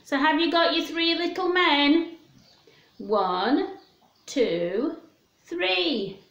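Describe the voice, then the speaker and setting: A woman's voice: high-pitched, expressive, extremely slow, a bit bright, dull audio. A woman in her fifties hosting story time for young children, talking simply and in a sing-song way with questions. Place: small room at home. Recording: roomy, echoey home recording.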